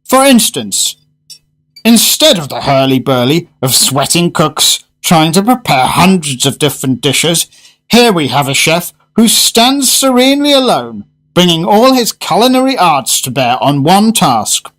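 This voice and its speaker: An actor playing a fussy, fervent gourmet in a radio drama, speaking in grandiose, emphatic phrases. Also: male voice, fairly high pitch, big pitch swings, a little slow, fairly bright tone, low-quality recording. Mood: fervent, reassuring. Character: fussy, fervent gourmet diner, a well-spoken man.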